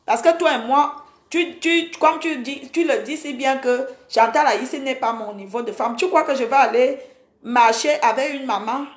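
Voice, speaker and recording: A woman's voice: sits high, moderate pace. Indignant woman scolding, echoey room. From Cameroon. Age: 40s. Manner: indignant, scolding, repeats herself. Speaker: social media personality. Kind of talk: angry Facebook live rant.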